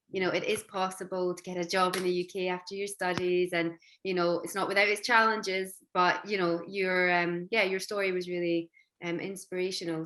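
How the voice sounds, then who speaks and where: A woman's voice: fairly low pitch, fairly bright tone. A woman hosting an interview on a video call, chatty and full of fillers. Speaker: host, careers adviser.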